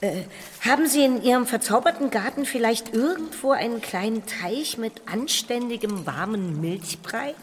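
A woman voicing a calm, caring mother troll in a children's radio play, polite and steady. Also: on the high side, moderate pace, few pauses, in a large hall, a little hiss or hum, natural voice. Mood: concerned. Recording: music under the dialogue.

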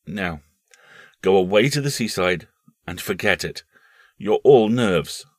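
A man's voice: deep voice, lively intonation, takes it slowly, dry recording, studio quality, full character voice. A man voicing a tired, anxious mother who speaks firmly to her son. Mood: stern. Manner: firm yet tired, worried. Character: weary, anxious, stern mother.

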